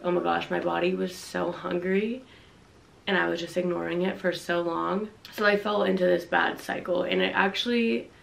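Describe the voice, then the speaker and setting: A woman's voice: fairly low pitch, vocal fry. A young woman vlogger with a congested voice from a cold, speaking candidly and earnestly to the camera in her bedroom. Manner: earnest, confiding, reads from notes. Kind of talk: candid personal talk to camera. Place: bedroom, indoors, dim.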